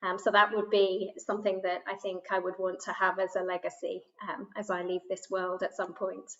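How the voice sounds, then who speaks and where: A woman panelist speaks in a measured way, pausing often with "um" as she introduces herself. Her voice comes through dull, lo-fi call audio. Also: medium pitch, level tone, fairly bright tone. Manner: measured, with frequent ums. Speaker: panelist, HR executive.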